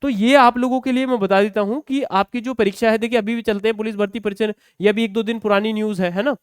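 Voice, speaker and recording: A man's voice: sits high, steady tone, fast talker, clear, resonant tone, no room sound, clean studio sound. A man explaining exam news to students as a coaching teacher, conversational and often checking in with short tag questions.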